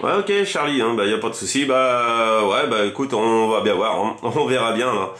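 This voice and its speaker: A man's voice: mid-range voice, lively intonation, moderate pace, fairly bright tone. A man in a casual CB radio chat, speaking in a rambling, repetitive way as a hobby operator. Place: indoors at a fixed home radio station. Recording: roomy echo around the voice.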